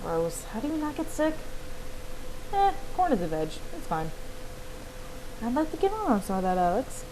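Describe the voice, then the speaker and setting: A woman reading aloud the game banter of blunt young horse riders in an animated, exclamatory way. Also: fairly high pitch, moderate pace, pauses often, voice pushed up. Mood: teasing, lively. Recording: clearly noisy home recording.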